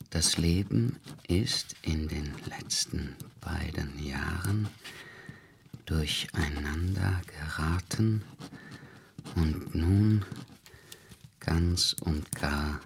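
An actor in a radio drama playing a despondent, hesitant man who speaks in a halting, subdued way. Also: rumbling low, creaky, a little hiss or hum, heavily acted. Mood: melancholy, subdued. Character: despondent, hesitant, broke man, low and weary. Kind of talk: radio drama acting with inner monologue.

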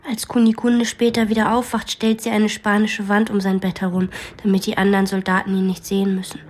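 A woman's voice: medium pitch, monotone, fairly quick, lightly acted. A woman acting in a radio drama as an imaginative, bossy young girl who narrates a macabre game in an earnest storytelling manner. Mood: hushed, macabre.

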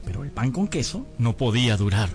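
A man's voice: medium pitch, fairly quick, natural voice. An actor playing a hungry, crafty young servant boy who narrates his misadventures theatrically in a radio drama. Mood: wry storytelling. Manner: theatrical, expressive storytelling. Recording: studio radio drama with music bed.

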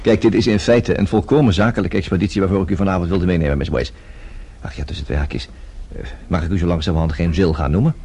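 An actor playing a flirtatious, persistent investigator in a radio play, with a husky voice and a teasing, smooth-talking manner. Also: male voice, low-pitched, fast talker, a little mellow. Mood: coaxing, flirtatious. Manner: teasing, smooth-talking, hesitant little interjections. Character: flirtatious, persistent male private investigator Bill Summers.

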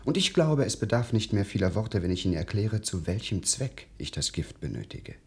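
An actor playing a scheming, ingratiating aristocrat in a German radio drama, theatrical and over-polite, with a breathy voice that often drops to whispers. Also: male voice, fairly low pitch, sing-song, fairly quick, exaggerated voice. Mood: feigned sorrow. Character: scheming, ingratiating male English aristocrat, outwardly courteous.

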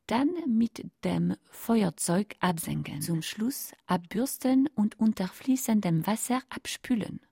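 Macabre cookery hostess for radio drama, unhurried, breathy, courteous and intimate. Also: female voice, medium pitch, dark tone, no room sound, clean studio sound, heavily acted. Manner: slow, deliberate, breathy, often near whispering. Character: courteous, macabre female cookery instructor addressing her audience. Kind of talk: intimate scripted radio monologue.

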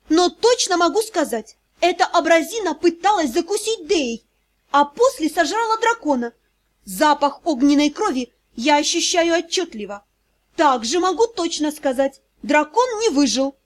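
A woman's voice: very high-pitched, expressive, normal speed, voice pushed up. A woman voicing a fearless, hot-tempered young vampire noblewoman in an audiobook, imperious and scolding. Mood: icy, threatening.

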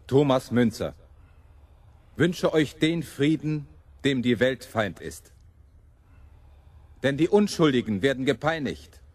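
Fiery rebel preacher in a radio drama, swoops up and down, bitter oratory, dry close sound. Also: male voice, fairly high pitch, a little mellow, no echo. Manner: oratorical, mocking the powerful. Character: impassioned male rebel preacher, champion of the common people. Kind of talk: German radio play monologue.

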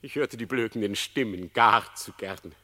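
A man's voice: fairly low pitch, sharp tone. An actor in a radio play voicing a cunning condemned male fox who makes a solemn, declamatory plea to a crowd. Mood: pious confessing. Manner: declamatory, solemn, drawn-out public confession. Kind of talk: classic German radio play acting in verse. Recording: long hall reverb on the voice.

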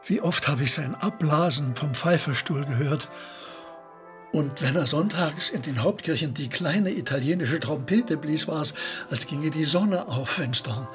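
A man's voice: on the high side, measured pace, soft background music, natural voice. An actor in a German radio play voicing a learned, pedantic anatomist who relishes his corpses and speaks formally, musing aloud. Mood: admiring.

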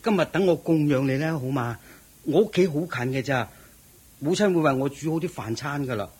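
An actor playing an eager, admiring young lay disciple, deferential and naive, speaking Hong Kong Cantonese in a radio drama. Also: male voice, fairly high pitch, expressive, fairly quick, darkish tone, husky. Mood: earnest pleading.

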